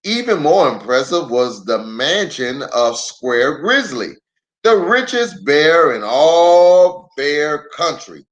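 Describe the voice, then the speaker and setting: Man, middle-aged, expressive storybook reader, swooping intonation, leisurely pace, playful asides to children. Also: fairly high pitch, slow. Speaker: pastor reading storytime to children. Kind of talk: children's storybook read-aloud with chatty asides.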